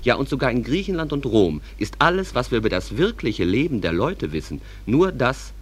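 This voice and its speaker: An actor playing a calm, reflective small-town stage manager who addresses listeners in an unhurried, conversational way in a German radio drama. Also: male voice, medium pitch.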